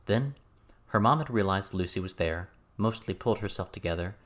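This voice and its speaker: A man's voice: low-pitched, animated, a little fast. A man reading a scripted story as an audiobook narrator.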